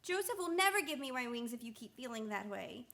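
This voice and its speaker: Woman playing a bright, high-pitched, chirpy apprentice angel. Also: sing-song, fairly quick, creaky, full character voice. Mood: earnest. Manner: chirpy, exclaiming, giggly. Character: cheerful, naive female apprentice guardian angel. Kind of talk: stage-style radio play acting.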